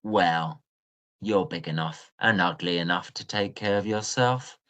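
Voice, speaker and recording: A man's voice: low voice, measured pace, a bit bright. A man playing a chatty young Victorian Oxford student in an audio drama, jaunty and full of old-fashioned slang. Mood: breezy, advising.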